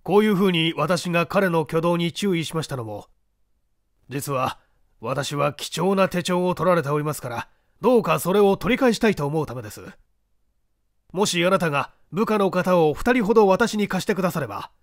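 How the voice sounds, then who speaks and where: A man voicing a cunning gentleman thief in an audiobook, speaking politely and assertively while posing as a victim. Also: a little high, expressive, normal speed, dry recording, clean studio sound. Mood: persuasive. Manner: polite, smooth-talking, assertive. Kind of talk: audiobook character acting.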